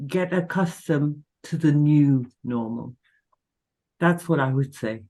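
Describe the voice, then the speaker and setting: A woman speaking as a guest on an online chat, giving advice deliberately and encouragingly, with occasional stumbles. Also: low-pitched, warm tone. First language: English. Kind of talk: casual conversational advice.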